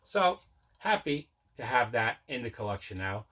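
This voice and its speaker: A man with a very bright, forward voice at an average pitch hosts a casual unboxing vlog. He rambles conversationally and doubles back to correct himself as he talks. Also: sing-song, normal speed. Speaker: host of a collecting channel.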